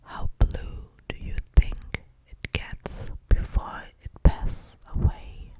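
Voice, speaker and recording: A woman speaks in a breathy, fully whispered ASMR voice. Her delivery is very slow and hushed, lingering over words and softly repeating them. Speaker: ASMR creator. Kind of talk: whispered ASMR, casual rambling and poem reading.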